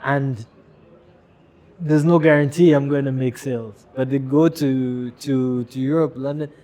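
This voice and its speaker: A man from Rwanda, a fashion brand founder, answering interview questions candidly in a halting way with frequent restarts. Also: mid-range voice, steady tone, dark tone.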